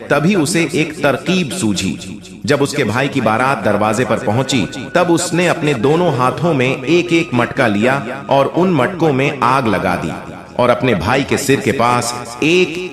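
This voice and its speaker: A man's voice: medium pitch, lively intonation, measured pace, reverberant, over music. A man narrating a scripted moral folk tale as a storyteller.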